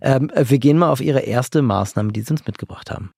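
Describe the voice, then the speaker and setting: A man interviewing a guest, brisk and affirming, asking direct questions. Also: medium pitch, vocal fry, noisy background. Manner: brisk, affirming with short replies.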